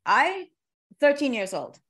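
A woman's voice: high voice, sing-song, takes it slowly, a bit bright. A woman hosting a casual interview, chatting in an informal, rambling and joking way. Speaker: interviewer, podcast host.

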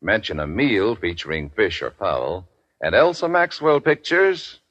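A dry, dull-sounding old radio recording presents a male commercial announcer. He reads in polished 1940s style, his pitch sweeping up and down as he leans deliberately on key phrases. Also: big pitch swings, fairly bright tone, dry recording. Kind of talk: scripted 1940s radio advertisement.

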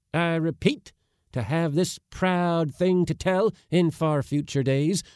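A man's voice: mid-range voice, creaky, sharp tone, no echo, clean studio sound, voice pushed up, full character voice. A man voicing an impatient, bossy elderly uncle in a storybook reading, with a blustering, pompous, exclamatory manner.

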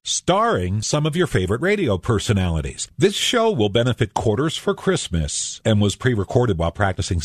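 A man's voice: mid-range voice, husky, no room sound, clean studio sound. An American man working as a radio announcer, reading a scripted show introduction.

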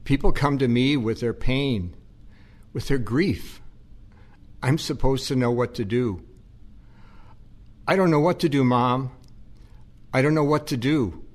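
A man acting as a gentle, weary priest who coaxes and cares for his mother in an audio play. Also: medium pitch, a little slow, long pauses, close to an everyday voice. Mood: anguished. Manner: coaxing, earnest.

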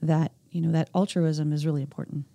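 A woman co-hosting a podcast in casual, chatty talk, quick to exclaim in reaction. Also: low-pitched, little pitch movement, vocal fry, dark tone, no echo. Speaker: podcast co-host.

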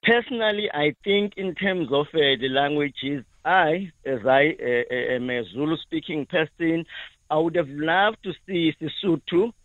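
A man with a South African accent, a native isiZulu speaker, calling in to a radio show and speaking haltingly with many pauses. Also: fairly high pitch, no echo. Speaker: caller. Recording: tinny phone line.

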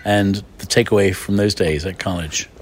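A man's voice: low voice, fairly even intonation, moderate pace, creaky, a little hiss or hum. A man hosting a radio food interview, effusive, chatty and theatrical. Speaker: radio food show host.

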